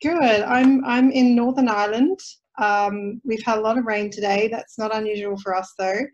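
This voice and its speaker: A woman's voice: a little high, fairly even intonation, moderate pace, a little mellow, dull audio. A middle-aged woman with an Australian accent, a company founder telling her story on a video call. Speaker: panel guest, founder. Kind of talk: casual panel chat. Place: home room on video call.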